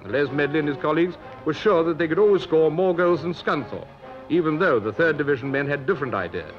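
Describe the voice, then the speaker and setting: A man's voice: a little high, animated, quick pace. A British man reading scripted narration as a reporter on a 1950s newsreel. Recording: dull 1950s newsreel soundtrack. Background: music.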